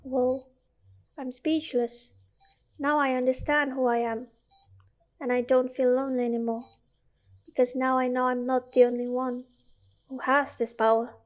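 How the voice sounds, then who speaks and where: A woman's voice: high-pitched, level tone, unhurried, pauses often. A young woman with an Indonesian accent playing a sincere, newly recruited student in an amateur radio drama. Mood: amazed, curious. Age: young adult. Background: loud music.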